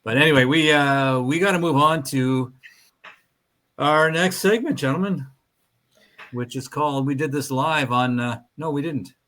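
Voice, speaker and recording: A man's voice: a little high, a little slow, a bit bright. A man hosting a podcast in casual chat, laid-back as he leads the conversation into segments. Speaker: podcast host.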